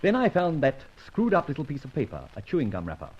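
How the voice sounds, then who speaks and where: An actor playing a suave, playful amateur sleuth in an old-time radio detective drama, with flowery, teasing speech. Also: male voice, a little high, wildly swooping pitch, fast, darkish tone, breathy edge, full character voice. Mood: explanatory, pleased. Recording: dull, muffled old radio recording.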